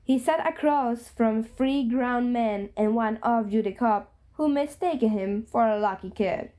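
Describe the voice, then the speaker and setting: A woman's voice: a little high, measured pace, mellow. A woman reading a story aloud as a scripted narration. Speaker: fan fiction reader.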